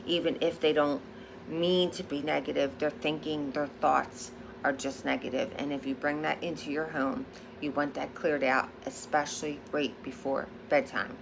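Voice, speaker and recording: A woman from Philadelphia with a Philadelphia accent, talking to camera in a casual vlog as a high priestess, emphatic and repeating her points with a frequent "okay?". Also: fairly even intonation, moderate pace, creaky, background noise. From Philadelphia, United States.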